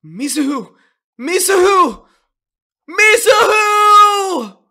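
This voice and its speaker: A performer playing an excitable, hot-headed young fighter in a manga audio drama, shouting frantically. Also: very high voice, drawn-out words, pitched above the performer's own voice, heavily acted. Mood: frantic.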